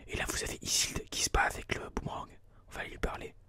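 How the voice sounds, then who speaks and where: A person whispering informal, explanatory ASMR gameplay commentary in a breathy, unvoiced voice, a little hesitant, close on a binaural mic. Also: fast talker, bright, forceful tone, no room sound. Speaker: ASMR gaming video host. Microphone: close binaural microphone.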